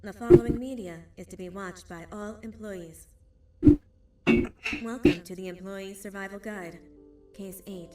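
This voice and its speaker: A woman's voice: mid-range voice, unhurried, long pauses, lightly acted. A woman playing a facility announcer who narrates a formal employee safety tape in a video game. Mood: formal, instructive. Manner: formal, instructional. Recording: echoey distant game audio over music.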